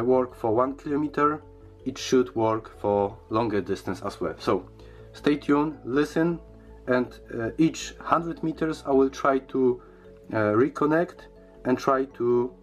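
Slow-paced man reviewing a product. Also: medium pitch. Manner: halting, hesitant delivery with repeated words. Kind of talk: casual unboxing and review.

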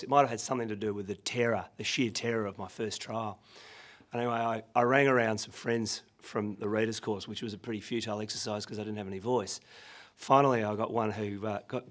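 Husky Australian man at a podium. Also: on the low side. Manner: self-deprecating anecdotal delivery with frequent fillers. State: husky, recovering from lost voice. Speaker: barrister presenting a seminar. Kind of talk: professional seminar talk. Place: conference room. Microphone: podium microphone.

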